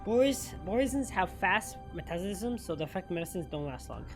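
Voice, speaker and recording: A woman streams a game with chatty, informal commentary. She speaks quickly in a fairly low voice, rambling along while game music plays clearly behind her. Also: very animated. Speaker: gaming streamer. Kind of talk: casual playthrough commentary.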